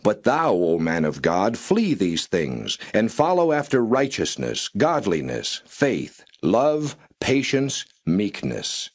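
Low-pitched man reading scripture with lively intonation. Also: slow, hardly any pauses, husky. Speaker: Bible narrator. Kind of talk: non-dramatized scripture reading.

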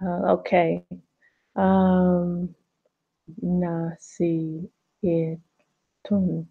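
Slow, hesitant woman learner with creaky voice. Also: fairly low pitch, monotone. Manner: hesitant, tentative, sounding out words. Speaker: language student. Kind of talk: halting read-aloud practice and translation in a lesson. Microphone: online call. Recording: dull, lo-fi online call audio.

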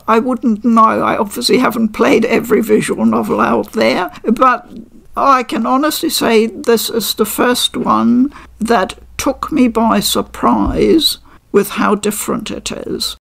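An older woman reviewing a game from her living room at a desk mic, measured and articulate with a dry, wry humor. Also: on the high side, creaky, a bit bright. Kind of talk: unboxing and review talk. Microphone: desk microphone.

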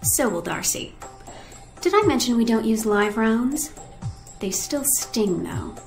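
Cool, echoey agency trainer. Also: female voice, fairly high pitch, expressive, slow, gravelly, exaggerated voice. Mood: wry, conspiratorial. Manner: dry, composed, teasing. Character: cool, wry young female agency weapons trainer. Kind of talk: video game acting. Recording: echoey room with faint music.

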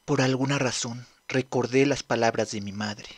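A man's voice: medium pitch, fairly even intonation, normal speed, slightly rough, dry recording, close to an everyday voice. A man voicing a timid, earnest, polite teenage boy in an anime fan dub, with a hesitant, apologetic manner. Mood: wistful, hopeful.

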